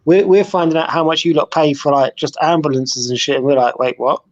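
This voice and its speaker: A British man in his forties chatting casually on a group call, teasing the others with quick banter. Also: on the high side, steady tone, lo-fi sound. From United Kingdom. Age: 40s. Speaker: podcast guest.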